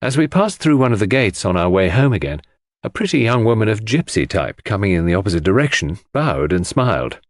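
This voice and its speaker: A man narrates an audiobook in scripted, literary first person. His voice is on the low side and his intonation is highly varied. The recording is dry, with very little room. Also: sing-song, brisk, no room sound.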